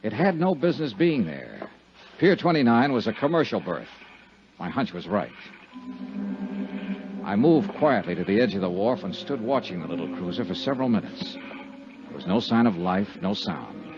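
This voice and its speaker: An American actor playing a tough insurance investigator in a 1950s radio drama, speaking in clipped, dramatic, hard-boiled phrases. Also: male voice, on the low side, very animated, normal speed, over music. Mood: tense, suspenseful. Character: tough, quick-witted male insurance investigator narrating his case. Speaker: lead actor and narrator. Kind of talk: 1950s radio detective drama acting, with first-person narration. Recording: dull vintage 1950s radio recording.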